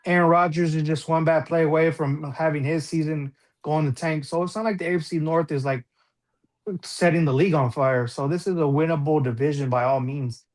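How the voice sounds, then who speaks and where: Higher-pitched man in casual sports talk. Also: steady tone, fairly quick, a little mellow. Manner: colloquial, repeats phrases for emphasis. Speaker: sports panelist.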